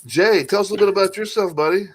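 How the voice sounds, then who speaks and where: A man's voice: high-pitched, very fast. A man hosting a podcast, brash and joking, with casual swearing. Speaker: podcast host.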